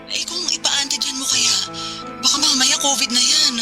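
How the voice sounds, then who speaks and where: A woman's voice: high voice, steady tone, normal speed, over loud music, natural voice. A woman acting a worried working wife who anxiously scolds her husband over a phone call in a radio drama. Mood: concerned. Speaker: wife calling from work.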